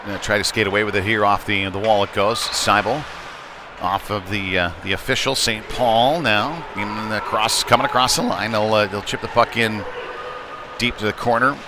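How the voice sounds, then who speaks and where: Male hockey commentator, lower register, conversational live call with hesitant pauses, clearly noisy rink backdrop. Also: fairly even intonation. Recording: noisy indoor rink ambience.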